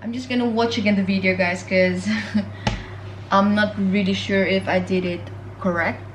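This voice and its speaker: A woman's voice: medium pitch, fairly even intonation, moderate pace, noisy background. A pregnant young woman chatting casually in a home cooking vlog, full of fillers and restarts, recorded on a camera mic at home. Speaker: vlogger. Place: home kitchen and living room.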